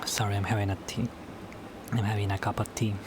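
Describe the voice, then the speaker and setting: A man whose first language is Spanish, talking casually to camera in a solo vlog at home late at night, halting and often repeating words as he restarts his sentences. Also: fairly low pitch, little pitch movement, noisy background. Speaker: YouTuber.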